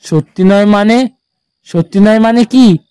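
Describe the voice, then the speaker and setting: A man voicing a nervous, impatient male passenger in an animated horror story. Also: high-pitched, measured pace, smooth, no room sound, studio quality. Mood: alarmed. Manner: agitated, questioning.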